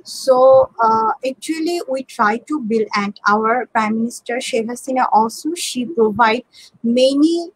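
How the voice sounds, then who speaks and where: Woman, husky and a bit high, careful hesitant answers. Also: expressive, unhurried, low-quality recording. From Bangladesh. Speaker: panel guest.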